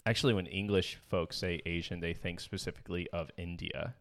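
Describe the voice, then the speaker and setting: A man with a low voice talks casually as a podcast co-host. He thinks aloud in an analytical, slightly hesitant way. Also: fairly even intonation, fairly quick. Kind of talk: casual chat.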